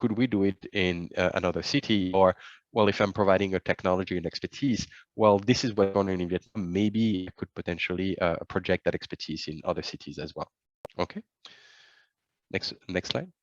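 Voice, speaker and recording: A man presenting a report over a webinar, speaking haltingly with many fillers and restarts, on dull video call audio. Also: deep voice, no room sound.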